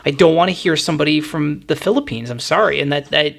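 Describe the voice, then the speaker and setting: A man's voice: fairly high pitch, quick pace, vocal fry, fairly bright tone. A man hosting a casual music discussion, talking in a rambling, opinionated way. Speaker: music podcast host.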